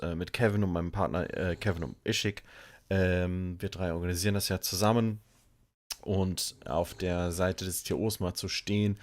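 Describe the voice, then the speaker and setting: A man hosting a live stream, chatting casually and thinking aloud with fillers. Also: fairly low pitch. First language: German. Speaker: streamer and show host. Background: faint music.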